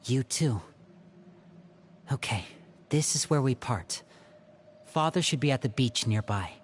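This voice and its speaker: A man plays a young magician from a video game, a protective older brother with a very animated, expressive pitch. He speaks in an earnest, measured way like a clever leader with a plan. There is some light noise behind the voice. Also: close to an everyday voice. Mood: determined, earnest.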